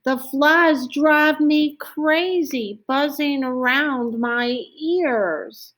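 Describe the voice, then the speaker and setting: A woman's voice: sits high, drawn-out words, fairly bright tone, pitched above the performer's own voice, full character voice. A woman voicing an exasperated storybook donkey in a whiny, emphatic manner. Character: exasperated donkey pestered by flies. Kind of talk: storybook character voice.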